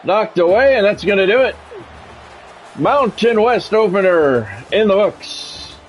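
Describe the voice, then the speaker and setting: A man's voice: high voice, expressive, unhurried, faint music behind, rough recording. A man calling a video game football match as a streamer, mixing announcer-style play-by-play with wry, grumbling, sometimes profane casual asides. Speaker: gaming streamer doing play-by-play commentary. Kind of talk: sports play-by-play commentary mixed with casual asides.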